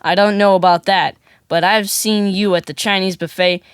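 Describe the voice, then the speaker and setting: A man's voice: high voice, fairly even intonation, moderate pace, a bit bright, clear, resonant tone, no echo, studio quality. An actor playing a stubborn, literal-minded boy in an audio comedy drama, snarky and questioning, with an American accent. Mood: argumentative, dry.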